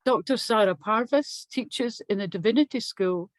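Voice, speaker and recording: An older woman facilitating an online dialogue, calmly reading out prepared introductions of the speakers. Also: fairly high pitch, normal speed, no room sound. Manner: calm, even-paced reading of prepared introductions. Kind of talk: formal introductions.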